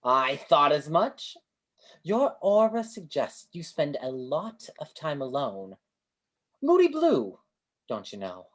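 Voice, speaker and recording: A woman's voice: deep voice, big pitch swings, slow pace, full character voice. A woman playing a grandiose, kindly street fortune-teller with florid delivery in a staged play reading over a video call. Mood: grand, genial. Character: Great Banzini, grandiose theatrical male street fortune-teller, kindly mystic.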